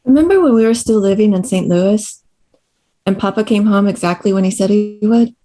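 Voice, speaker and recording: A woman's voice: medium pitch, fairly quick, natural voice. A woman acting a tough, cynical young frontier sister in a script read, with a folksy, plainspoken delivery. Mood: earnest. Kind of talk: table read of a screenplay.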